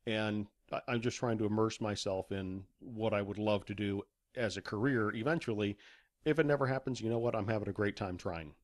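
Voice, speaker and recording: A man's voice: on the low side, a little fast. A man speaking casually as a podcast guest, a writer and voice actor telling anecdotes. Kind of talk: casual anecdotal interview chat.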